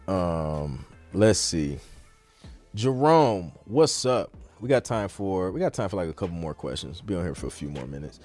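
A man's voice: low voice, very animated, unhurried, long pauses, a little mellow. A man hosting a live question-and-answer podcast as a music producer, talking in an informal, slangy, rambling conversational way. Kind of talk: casual live Q&A chat.

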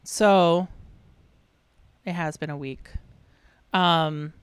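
A woman with a smooth, clear voice who makes wry, matter-of-fact remarks in a casual chat. Her voice sits at a middle pitch. The sound is close and dry. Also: steady tone, extremely slow, no room sound. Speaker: podcast co-host.

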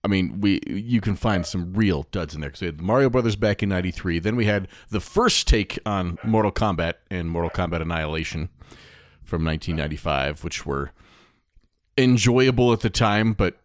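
Lower-voiced man chatting on a podcast. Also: normal speed. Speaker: podcast co-host. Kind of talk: casual podcast discussion.

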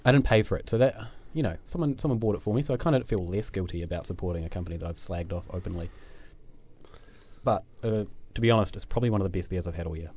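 A New Zealand man with a very low, mellow voice, hosting a relaxed podcast chat. He talks loosely and self-deprecatingly, full of fillers and restarts. The desk-mic recording sounds a little dull and lo-fi. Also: quick pace. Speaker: podcast host. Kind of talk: casual chat. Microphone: desk microphone.

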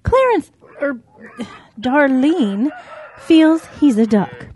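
Lady doing a mama cow, slow and kinda high, defensive but doting, up and down, cartoony audio play. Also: very animated, darkish tone, a little hiss or hum, heavily acted. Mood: doting, earnest. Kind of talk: children's animal audio drama acting.

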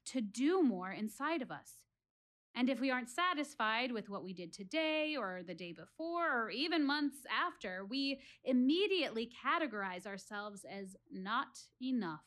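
An actress playing an earnest, reflective female warrior, speaking to a theatre audience in a rhetorical one-woman monologue with dramatic pauses. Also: a little high. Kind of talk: one-woman stage monologue audio play.

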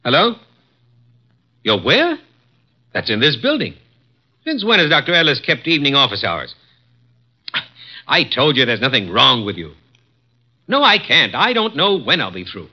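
A cold, petty executive in a 1950s radio play. His words are curt and imperious, with sharp swings in pitch. The sound is dull and lo-fi. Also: wildly swooping pitch, normal speed, long pauses. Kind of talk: 1950s radio drama acting.

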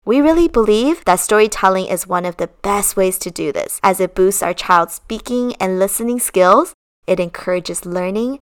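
A woman's voice: medium pitch, animated, normal speed. A woman in her thirties from China, co-hosting a podcast in a casual, upbeat, partly scripted chat. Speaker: podcast co-host.

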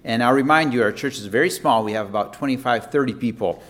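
A man who is a missionary speaks to a congregation in a relaxed, friendly way. He talks casually, with frequent fillers. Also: medium pitch, fast talker. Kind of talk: casual church update.

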